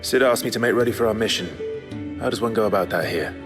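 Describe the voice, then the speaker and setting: A man plays a fallen noble warrior in a fantasy game, brooding and bent on revenge. His low voice is grave and intense, with terse lines and faint music underneath. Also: quick pace, close to an everyday voice. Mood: terse, guarded. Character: brooding, vengeful branded male warrior, a fallen lord. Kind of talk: fantasy game cutscene acting.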